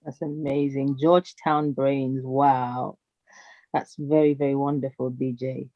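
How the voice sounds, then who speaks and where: A woman's voice: extremely low pitch, level tone, unhurried, no room sound, lo-fi sound. A woman hosting a live radio interview, effusive and playful, heaping praise on her guest. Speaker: radio show host.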